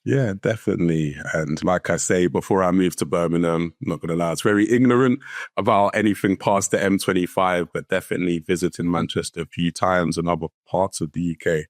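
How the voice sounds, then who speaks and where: Deep-voiced podcast host. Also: male voice, steady tone, brisk, dry recording, clean studio sound. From London, England. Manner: friendly and encouraging, casual slang like "bro". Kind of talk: hosting a conversational interview.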